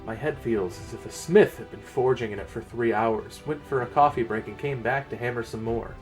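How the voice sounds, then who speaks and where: A man's voice: medium pitch, a little fast, darkish tone, faint music behind. A man narrating a first-person fantasy story in a dramatic reading style.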